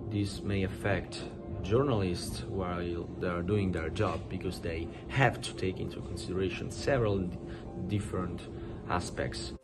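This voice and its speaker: A young man from Italy speaking slowly and carefully into a webcam indoors. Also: low-pitched, fairly even intonation, loud music behind the voice. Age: young adult. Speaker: interviewee. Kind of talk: prepared video statement. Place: room with high ceiling indoors.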